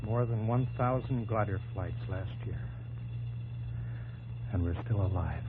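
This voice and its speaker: A man's voice: fairly low pitch, unhurried, close to an everyday voice. Muffled noisy old radio recording, actor as earnest young american guy, an inventor, thoughtful, 50s radio play. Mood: matter-of-fact. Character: Orville Wright, earnest young aviation pioneer, thoughtful and uneasy. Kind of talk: 1950s radio drama acting.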